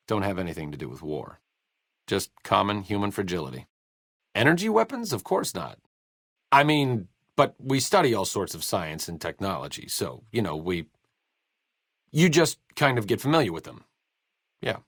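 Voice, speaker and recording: A bookish, self-deprecating video game doctor, speaks in a low, closely recorded voice. His delivery is sardonic and erudite, rising and falling freely as he makes his dry understatements. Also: a bit bright, slightly rough, dry recording, heavily acted. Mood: wry, then flustered. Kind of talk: video game character dialogue.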